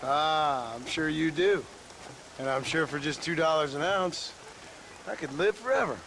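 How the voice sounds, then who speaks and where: A man's voice: fairly high pitch, unhurried, a bit bright, slight background noise. A man playing a dry, sceptical gunslinger in a Western video game, talking in a laconic, sardonic way. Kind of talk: game cutscene dialogue.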